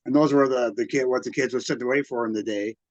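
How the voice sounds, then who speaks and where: Halting older American man, dry dull recording. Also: mid-range voice, steady tone, no room sound. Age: 60s. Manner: halting, hesitant, backtracks often. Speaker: radio collector and museum exhibitor, interviewee. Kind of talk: casual reminiscing interview.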